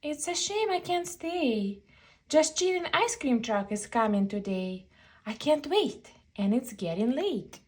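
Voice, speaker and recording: An eager young tomcat from a picture book, as a woman reader plays him. He is kind but always rushing. The voice is high and slightly husky, with emphatic rhymes tumbling out. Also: very animated, full character voice. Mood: hurried, eager. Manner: rushed, rhyming, emphatic delivery. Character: eager, hurried, kind young male cat.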